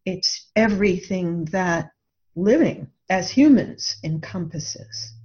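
A woman's voice: lowish voice, expressive, takes it slowly, darkish tone, breathy edge, highs cut off. A woman speaking reflectively in a discussion, earnest and thoughtful, often posing rhetorical questions. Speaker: radio show co-host and author.

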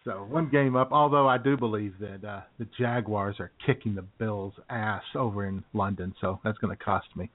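A man co-hosting a casual internet radio show, joking in deadpan asides and laughing at his own lines. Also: lowish voice, moderate pace, few pauses. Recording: tinny phone-line internet radio audio.

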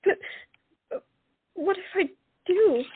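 Woman playing earnest high-voiced young lady Roxane, muffled. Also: animated, takes it slowly, a little hiss or hum, exaggerated voice. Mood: hesitant, tender. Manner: pleading, earnest. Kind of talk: romantic audio drama acting. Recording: muffled lo-fi audio, music underneath.

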